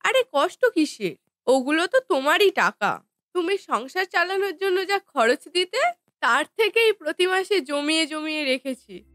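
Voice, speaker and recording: A woman voicing a sweet, dutiful wife in a Bengali family cartoon, gentle and earnest in manner. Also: high voice, dry recording. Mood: caring.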